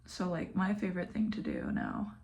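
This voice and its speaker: A young woman with a Southern American accent, chatting flatly and casually while doing her makeup, sometimes dropping to a mumble or whisper. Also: on the low side, normal speed, vocal fry, highs cut off. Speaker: beauty vlogger. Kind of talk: casual get-ready-with-me chat.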